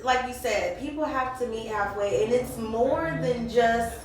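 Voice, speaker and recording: A woman's voice: fairly high pitch, a little slow. A woman hosting an interview in casual, slangy, chatty talk. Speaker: interviewer and host. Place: echoey room at a mixer event. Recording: very echoey room, distant mic, faint noise.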